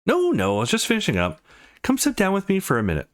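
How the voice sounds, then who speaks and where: A caring, affectionate woman of a visual novel, read by a man. The voice is pitched up a little in places and moves in very animated swings. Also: fast.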